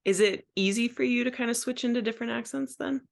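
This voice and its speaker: An interviewer with an Ohio accent and a mid-pitched, gravelly voice. They ask casual questions and react with short friendly remarks. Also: fast.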